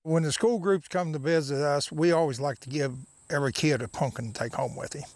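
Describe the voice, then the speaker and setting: A man's voice: fairly high pitch, fairly quick, a little mellow, no room sound. A man who farms, giving unscripted answers in a news interview. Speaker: farmer.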